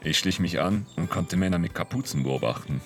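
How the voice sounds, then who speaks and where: A spaced-out hermit in his fifties, acted by a man, sells dope from the woods. His voice is deep, and he rambles on in a matter-of-fact way. It is a comic audio play with faint background music. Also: brisk, lightly acted. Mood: spaced-out, friendly. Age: 50s. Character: spaced-out fifty-year-old hermit dope dealer in the woods. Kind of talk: comedic audio drama acting.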